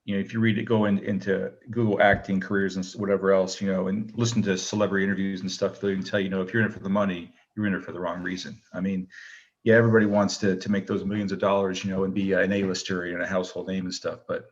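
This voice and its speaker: A man's voice: deep voice, flat delivery, fast, muffled recording. A middle-aged man, a retired military pilot turned actor, answering interview questions casually and rambling, with many fillers and restarts.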